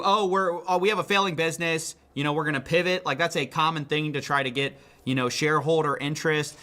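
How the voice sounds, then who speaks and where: A man's voice: a little high, pushed and bright. A man giving conversational finance news commentary in an incredulous, emphatic way. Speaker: online video commentator.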